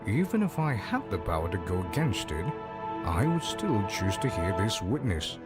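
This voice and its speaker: A man's voice: fairly low pitch, sing-song, moderate pace. A man playing a solemn, dutiful judge in a visual novel, with a stately, formal delivery. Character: solemn, dutiful male judge of afterlife court. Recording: music under the voice.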